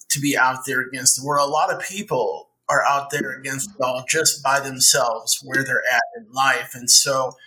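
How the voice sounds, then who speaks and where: A man hosting a podcast, setting up long interview questions in a measured way. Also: mid-range voice, sharp tone, low-quality recording. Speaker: podcast host.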